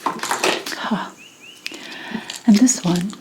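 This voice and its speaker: A woman playing an indignant, bitter 17th-century witch and healer in a whispered ASMR roleplay, deliberate and scornful. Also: medium pitch, background noise. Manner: slow, deliberate, scornful whispering with pauses and sighs.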